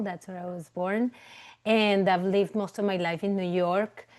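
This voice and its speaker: A woman's voice: mid-range voice, darkish tone. A woman from Venezuela, a clinical psychologist, giving a webinar talk over a video call in a loose, conversational way full of fillers and restarts. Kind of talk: webinar presentation.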